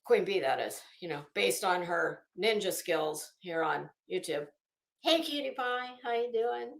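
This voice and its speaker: A woman's voice: on the low side, animated, a little slow, gravelly, roomy sound. A middle-aged woman hosting a casual livestream, rambling through stories in a sassy, wry, profane way. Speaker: livestream host.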